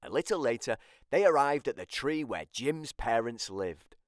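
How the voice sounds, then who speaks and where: A storybook reader, giving animated scripted narration for children. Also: medium pitch, normal speed, dry recording, studio quality.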